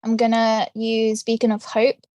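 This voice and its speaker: A woman's voice: a little high, monotone, unhurried, darkish tone, dry recording. A woman playing a tabletop game, talking casually and hesitantly as she thinks her turn through aloud.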